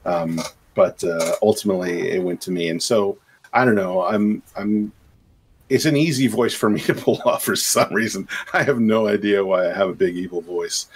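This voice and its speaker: A man's voice: on the low side, moderate pace, a little hiss or hum. A middle-aged man, a game composer and sound designer, talking casually on a video call with many fillers and restarts. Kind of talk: casual podcast interview.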